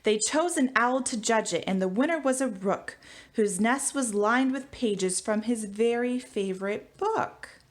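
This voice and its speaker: Woman, elementary teacher, slightly high voice, expressive storybook read-aloud style for young listeners. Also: measured pace. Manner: expressive, animated reading.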